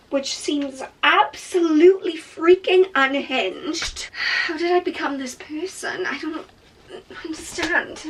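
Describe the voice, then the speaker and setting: A woman's voice: sits high, fairly bright tone, rough recording. A young woman, talking casually to camera in a vlog, chatty and self-mocking, laughing often. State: congested from a cold. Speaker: vlogger.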